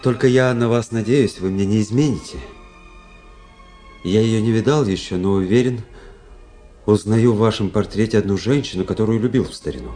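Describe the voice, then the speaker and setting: Deep, dark, brooding officer played by an actor. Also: male voice, moderate pace, faint music behind, lightly acted. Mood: guarded, intimate. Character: brooding, world-weary young officer narrating his own story. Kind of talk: literary first-person narration in a radio play.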